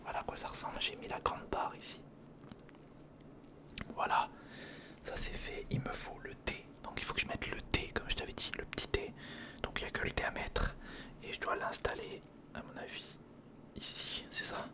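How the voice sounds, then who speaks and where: A man whispering in a breathy, crackly voice, casually murmuring a step-by-step ASMR commentary as he thinks aloud. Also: moderate pace, long pauses. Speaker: ASMR video creator.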